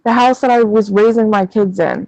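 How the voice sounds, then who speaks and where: A woman's voice: medium pitch, steady tone, normal speed, dark tone, no echo, lo-fi sound. A woman in her thirties in casual chat, venting bluntly with plenty of swearing. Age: 30s.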